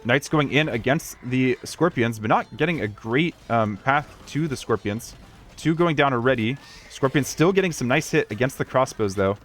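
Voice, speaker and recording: A man's voice: medium pitch, expressive, moderate pace, a bit bright, faint music behind. A man giving casual live commentary on a video game match, analytical and tentative as he thinks aloud.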